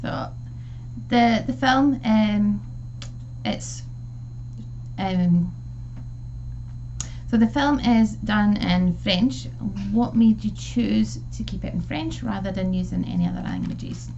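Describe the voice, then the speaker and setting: A woman's voice: medium pitch, takes it slowly, vocal fry, dark tone. A woman hosting an interview show, asking friendly questions with some fillers. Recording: dull, slightly noisy line.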